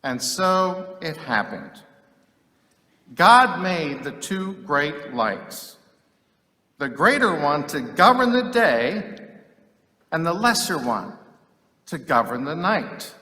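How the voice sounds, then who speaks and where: Slow, declamatory man in an echoing hall. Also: on the high side, very animated, pushed and bright. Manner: deliberate, declamatory, with long pauses between phrases. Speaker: scripture reader. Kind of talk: solemn, dramatic scripture reading. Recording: echoey hall with long reverb.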